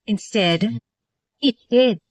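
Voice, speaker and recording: A woman's voice: a little high, slow pace, no echo, far from the mic. A woman reading scripted narration for a moral story.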